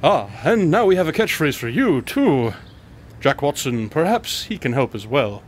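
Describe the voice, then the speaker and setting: A man playing an eccentric, self-assured detective with a playful deadpan manner in a comic radio drama. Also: on the high side, moderate pace, slight background noise. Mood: wry. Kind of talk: comedic radio drama acting.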